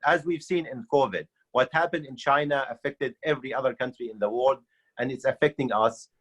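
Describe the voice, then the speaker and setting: A man's voice: mid-range voice, fairly bright tone, poor audio. A man from Syria with an Arabic accent, making earnest, unscripted panel remarks with hesitant starts.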